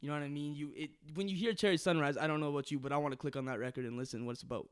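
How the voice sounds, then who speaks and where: A man's voice: on the high side, fairly even intonation, racing, clear, resonant tone. A young man in a band, answering casually as an interview guest. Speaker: band member being interviewed.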